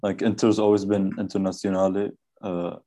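A man's voice: deep voice, flat delivery, normal speed, warm tone. A man hosting a football podcast, talking casually and hesitantly with frequent fillers. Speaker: podcast host.

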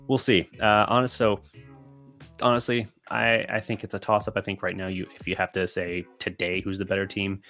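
A man with a very deep voice runs his own sports podcast, reading out listener questions and talking them through. His voice has turned scratchy and a little raspy after a long session. Faint music plays behind him. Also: fairly bright tone. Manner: thinking aloud, with restarts and fillers. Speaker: podcast host.

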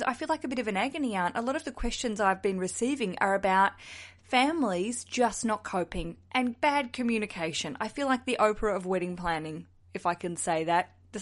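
An Australian woman hosting a podcast alone, chatty, jokey and candid. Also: mid-range voice. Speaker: podcast host. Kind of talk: solo podcast chat.

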